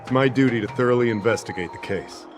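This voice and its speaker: A man's voice: mid-range voice, quick pace, over music, natural voice. A man voicing a dutiful official in a video game, formal and businesslike. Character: dutiful, formal male official investigating a case. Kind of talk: English game voice acting.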